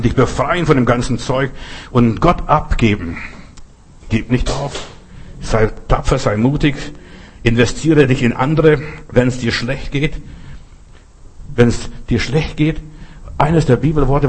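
A middle-aged man preaches in German, which is not his first language, with an earnest, exhorting delivery that keeps repeating key phrases. His voice sits at a medium pitch. A faint background noise runs under the recording. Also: a little mellow. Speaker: pastor. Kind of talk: sermon with personal stories.

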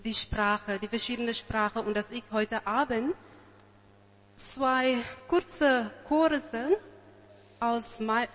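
A woman from South Africa, Afrikaans her first language, introduces her song in halting German with an Afrikaans accent. Her voice sits a little high. The sound rings in an echoey hall. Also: steady tone, fairly bright tone. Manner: searching for words, halting. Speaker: church singer.

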